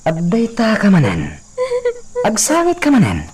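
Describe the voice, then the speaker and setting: A man's voice: high voice, huge pitch swings, measured pace, noisy background, full character voice. A man acting a scheming, menacing villain in an Ilocano radio drama. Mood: coaxing, agitated.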